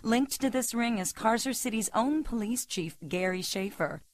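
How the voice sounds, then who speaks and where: An actress playing a composed TV news reporter, reading in a formal, measured way. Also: female voice, a little high, animated, no room sound, close to an everyday voice.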